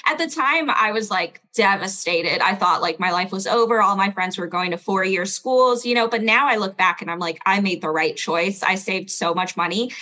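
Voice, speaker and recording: A woman's voice: mid-range voice, pushed and bright. A young woman born in Belgium with a standard American accent, telling her story as a guest in a casual interview, chatty, with lots of "like". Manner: chatty, lots of "like" and quick laughs.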